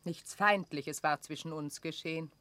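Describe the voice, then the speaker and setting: An actress playing a haughty, suspicious reigning queen in a classical radio drama, with measured, imperious declamation. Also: low-pitched, pushed and bright, no room sound. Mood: accusing, scornful. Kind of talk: classical German stage drama radio play.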